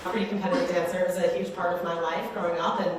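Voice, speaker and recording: A woman's voice: fairly low pitch, flat delivery, rapid-fire, heavy reverb, far from the mic, slight background noise. A woman in her thirties from Western Canada, talking casually as an interview guest with lots of fillers like "like" and "you know.". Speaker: guest, startup co-founder.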